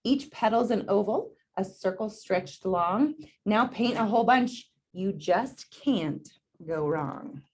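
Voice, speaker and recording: A woman's voice: mid-range voice, expressive, slow, a little mellow, breathy edge. A woman voicing an eager male red paint splatter from a storybook, upbeat and coaxing as he rallies his team. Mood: encouraging.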